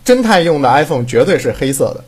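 A man's voice: on the high side, sing-song, quick pace, slightly rough. A man chatting casually as a drama director, rambling and repeating himself for emphasis. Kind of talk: casual chat.

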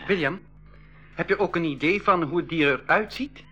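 A man's voice: a little high, moderate pace, sharp tone, dull audio. An actor playing a cautious boy adventurer who tells his story in a radio play for schools. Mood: curious. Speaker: narrator and actor.

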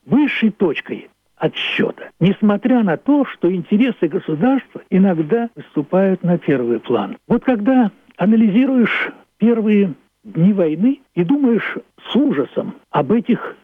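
Elderly male, reflective radio monologue, very lively intonation, emphatic repetitions, dry narrow-band sound. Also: on the high side, husky, dry recording. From Russia, Leningrad. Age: older, 80s. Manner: deliberate, philosophical, emphatic phrasing with repetitions. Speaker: playwright. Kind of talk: reflective radio monologue about own play and history. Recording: thin phone-like band-limited sound.

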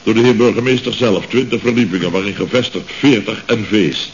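Male actor for radio comedy: pompous, excitable construction boss, blustery and flustered, on a dull, noisy old recording. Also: fairly low pitch, brisk, lightly acted. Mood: moved, grandiose. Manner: pompous, flustered, stammering, repeating himself. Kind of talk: radio comedy serial acting.

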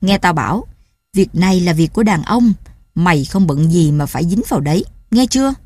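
A woman reading a storybook voices a quick-tempered fisherman father who scolds his wife and daughter in a loud, raised voice. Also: fairly low pitch, animated, dry recording. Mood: angry scolding.

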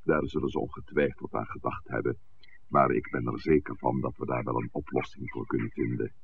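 A man playing a courteous, evasive village priest in a radio drama, speaking formally in a gravelly voice on an old recording. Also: a bass voice, fairly even intonation, fairly quick, narrow, tinny audio, slight background noise. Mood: smooth, discreet. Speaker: radio play actor. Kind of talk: 1960s radio drama acting.

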